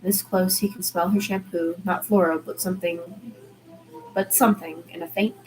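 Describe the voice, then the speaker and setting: A woman's voice: lowish voice, steady tone, normal speed, a little mellow, soft background music, poor audio. A woman reading a story aloud in audiobook style, occasionally stumbling and restarting her phrases. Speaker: fan fiction reader.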